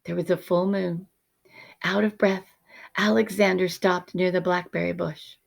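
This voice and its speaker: A woman teacher narrating a children's picture book expressively, measured and dramatic, often dropping to hushed tones. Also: lowish voice, level tone, gravelly.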